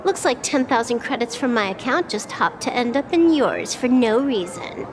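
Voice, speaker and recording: A woman's voice: a little high, expressive, normal speed, noisy background, lightly acted. An actress playing a cool, dryly teasing young woman hacker in a video game. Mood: dry, smug. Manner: nonchalant, dry, sly. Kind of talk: video game character acting.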